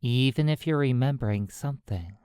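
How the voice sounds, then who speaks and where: A meditation guide narrating in measured, deliberate short phrases with pauses between them. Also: medium pitch, expressive, mellow, clear, resonant tone, dry recording, clean studio sound.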